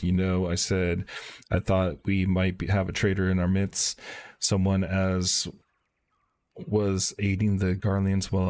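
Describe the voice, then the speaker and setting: A man's voice: low voice, a little mellow. A man reading a worried tavern keeper. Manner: reads game dialogue aloud in his plain voice.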